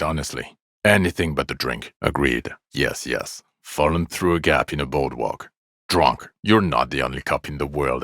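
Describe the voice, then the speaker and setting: A very deep, gravelly jaded detective. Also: male voice, no room sound, studio quality, heavily acted. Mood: weary resignation, then dry, dismissive. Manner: weary, blunt, deadpan sarcasm with profanity. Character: jaded, bitter, sardonic male police detective. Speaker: video game voice actor. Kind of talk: dramatic video game dialogue.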